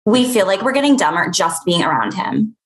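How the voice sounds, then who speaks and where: A woman's voice: mid-range voice, sing-song, fairly quick, a crowd in the background, natural voice. Judgmental, dry young woman played by an actress, roomy recording, comedy table read. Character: judgmental, dry young Ivy League friend. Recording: roomy, echoey sound.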